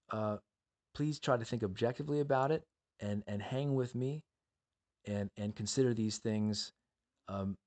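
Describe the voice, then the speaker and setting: A man's voice: lowish voice, darkish tone. Man giving emphatic Bible teaching. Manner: emphatic, with rhetorical questions and repeated appeals. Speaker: podcast host teaching the Bible. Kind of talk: earnest Bible teaching monologue for a podcast.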